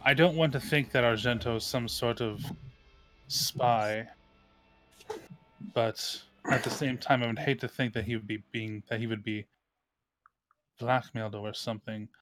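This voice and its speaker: A man playing a cautious, uneasy female elf warrior in a tabletop game, with measured, formal, deliberating speech. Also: medium pitch, fairly even intonation, a bit bright, no room sound, close to an everyday voice. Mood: uneasy, pensive.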